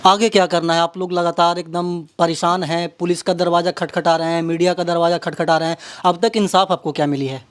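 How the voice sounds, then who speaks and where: A man's voice: fairly high pitch, level tone, fairly quick, few pauses, smooth. A man with a Bihari accent working as an interviewer, introducing and questioning in a dramatic, repetitive way. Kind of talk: local media interview with narrated introduction.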